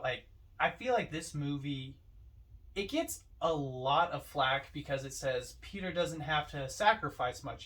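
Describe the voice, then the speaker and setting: A man's voice: a little high, a bit bright. A man co-hosting a film podcast, talking casually through his opinions. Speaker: podcast co-host. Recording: slightly distant from the mic.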